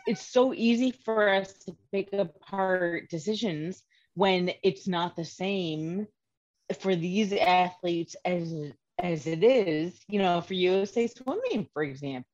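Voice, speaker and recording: A woman's voice: mid-range voice, a little mellow, lo-fi sound. A woman co-hosting a swimming podcast who makes her points earnestly in casual chat. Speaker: podcast co-host.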